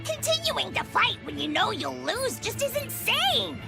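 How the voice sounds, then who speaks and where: A woman voicing a small, timid, high-strung creature in a video game, with a shrill, plaintive delivery. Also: sing-song, a little slow, creaky, full character voice. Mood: fretful, pleading. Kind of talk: English video game voice acting. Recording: loud game music behind.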